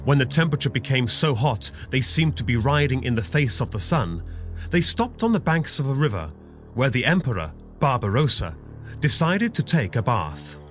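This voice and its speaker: A man's voice: mid-range voice, very animated, normal speed, a bit bright. A man giving dramatic scripted narration for a children's audio adventure story. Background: music.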